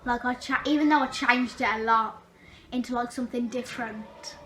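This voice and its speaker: Young girl chatting, highish voice, bit of background noise. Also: fairly even intonation, normal speed, sharp tone. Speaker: amateur pantomime performer. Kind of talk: casual interview chat.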